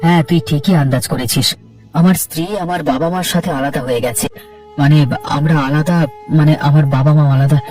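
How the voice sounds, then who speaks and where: A man's voice: on the high side, steady tone, brisk, far from the mic, music in the background, natural voice. A man voicing a young married office worker in a cartoon, impatient, troubled and complaining in his frustration. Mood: troubled, upset. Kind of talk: cartoon dialogue acting.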